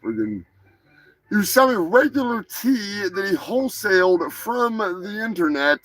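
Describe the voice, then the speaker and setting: A man's voice: sits high, sharp tone, poor audio. A man hosting a live show, talking over the news in a loose, sarcastic, rambling way with lots of swearing and laughter. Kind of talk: casual profane news commentary.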